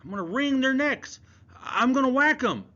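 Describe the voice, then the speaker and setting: A man voicing a jumpy, agitated young boy in an audiobook reading, his voice going shrill when he shouts. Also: expressive, normal speed, heavily acted. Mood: nervous. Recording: dull lo-fi recording.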